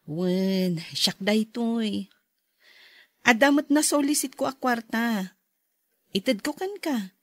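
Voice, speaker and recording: A woman's voice: fairly high pitch, takes it slowly, pauses often, dry recording. A woman playing a composed, forgiving schoolteacher in a radio drama, speaking in a considerate way. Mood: kindly.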